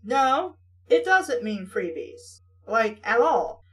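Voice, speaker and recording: A woman's voice: on the high side, very animated, takes it slowly, a bit bright. A woman reading a story aloud and riffing on it casually, with a blunt, sarcastic, sweary manner. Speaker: host reading a book aloud with critical commentary.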